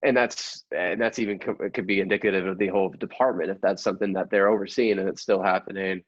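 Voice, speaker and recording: A man hosting an improvised mock-interview podcast, speaking haltingly and repeating his phrases, with a dull lo-fi sound. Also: deep voice, fairly even intonation, breathy edge, no room sound. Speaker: podcast host.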